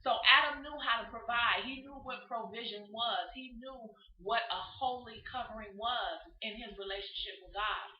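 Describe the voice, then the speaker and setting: High-voiced woman giving emphatic advice, echoey room. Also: normal speed, hardly any pauses. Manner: emphatic, preachy, repeats points in parallel phrases. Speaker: relationship advice speaker. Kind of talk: motivational advice talk to camera. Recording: muffled echoey room with background noise.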